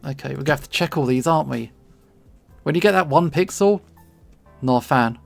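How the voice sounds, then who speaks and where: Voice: mid-range voice, expressive, fairly quick, faint music behind. A streamer with a southeast English accent, chatting casually and playfully while drawing, pronouncing words with care. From southern England. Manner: clearly enunciated, pronounces T's; playful, chuckles often.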